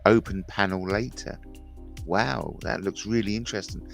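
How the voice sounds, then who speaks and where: A man's voice: fairly low pitch, fairly even intonation, normal speed, vocal fry, soft background music. A man in his fifties hosting a rambling, jokey livestream chat, repeating himself and mentioning his speech impediment. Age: 50s. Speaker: livestream host.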